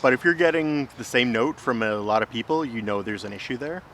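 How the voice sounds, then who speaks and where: A man's voice: mid-range voice, a little fast, a bit bright, a little hiss or hum. A man hosting a casual vlog, explaining things in a conversational way. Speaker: host, filmmaker.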